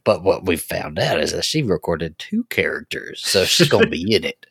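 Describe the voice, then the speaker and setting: A man with a deep voice chats as a podcast co-host. He is emphatic and casual, and his pitch moves around a lot. The recording is dry. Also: moderate pace, gravelly, breathy edge, no room sound. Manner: animated, emphatic. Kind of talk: casual fan discussion.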